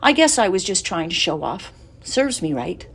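A woman voicing a young male pig who is eager and tender-hearted, in a high boyish storybook voice. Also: very animated, normal speed, vocal fry, a bit bright, husky, full character voice. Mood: wistful.

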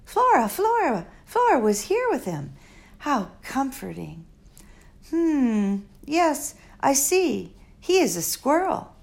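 A woman's voice: high voice, wildly swooping pitch, takes it slowly, creaky, pitched above the performer's own voice, heavily acted. A woman reading an audiobook, voicing an eccentric elderly female philosopher who speaks in stilted, formal phrases. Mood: musing.

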